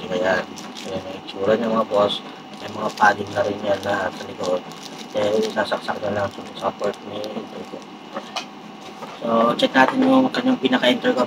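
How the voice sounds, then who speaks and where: A Filipino man talks through a product unboxing in a casual vlog style, his voice low and full of gravelly fry. He phrases things haltingly, pausing to find words, over clearly audible background noise. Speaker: vlogger doing a product unboxing and test. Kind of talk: casual unboxing review, talking while handling items. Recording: clearly noisy, steady background hum.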